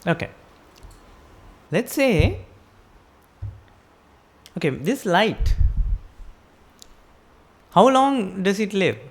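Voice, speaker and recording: A woman's voice: mid-range voice, big pitch swings, very slow, gravelly, long pauses, husky. A woman with a Sri Lankan accent giving a Buddhist sermon, explaining step by step and asking her listeners rhetorical questions. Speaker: Buddhist teacher.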